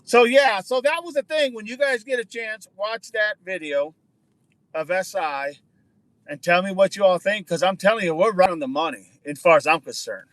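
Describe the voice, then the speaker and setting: High-voiced man chatting on a livestream. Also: normal speed, a bit bright, no room sound, poor audio. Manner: chatty, repeats words for emphasis. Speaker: livestream host. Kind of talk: casual livestream chat, answering viewer comments.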